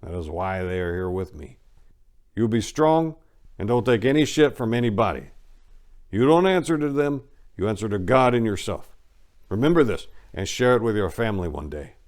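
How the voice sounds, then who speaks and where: Man playing a calm, blunt dying man giving advice. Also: fairly low pitch, moderate pace, close to an everyday voice. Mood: calm, firm. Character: dying man, calm, blunt, advising a troubled younger acquaintance.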